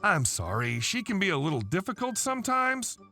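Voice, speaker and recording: A man voicing a calm, polite young adult son who speaks softly and apologetically. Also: high voice, normal speed, soft background music, heavily acted. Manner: calm, soft-spoken.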